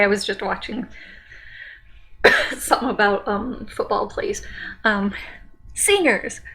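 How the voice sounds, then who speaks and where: A woman's voice: medium pitch, fairly bright tone. A woman casually commenting in a reaction video, rambling through her thoughts with frequent apologies and laughing asides. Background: faint music playing.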